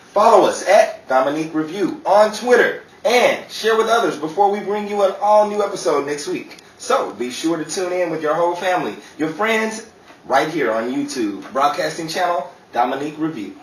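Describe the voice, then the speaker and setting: A man with a bright, forceful and fairly high voice works as a radio play actor and announcer. His pitch moves around a lot, and he sounds distant in an echoey room. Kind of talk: old-time radio announcing and rehearsal. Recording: echoey, distant mic, some noise and crowd.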